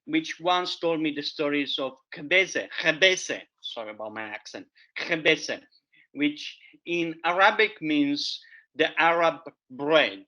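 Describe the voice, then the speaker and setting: A man with a bright, fairly high voice talks eagerly about edible weeds. His phrasing is loose and chatty, and he often circles back with little repeated catchphrases. The recording sounds dull and lo-fi. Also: expressive, slow pace. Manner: enthusiastic, chatty, repeats "there you have it"; loose non-native phrasing with many fillers. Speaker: foraging educator. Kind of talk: casual show-and-tell talk.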